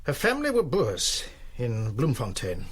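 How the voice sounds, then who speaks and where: An actor in a radio drama playing a diligent, quietly persistent German military aide who speaks politely, carefully and formally. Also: male voice, medium pitch, huge pitch swings, a little slow, slightly rough, full character voice. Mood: earnest, dutiful.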